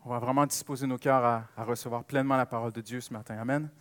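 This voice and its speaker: A man's voice: mid-range voice, steady tone, fast talker, no room sound. A man with a French Canadian accent preaching a sermon with personal stories, emphasizing and repeating key phrases.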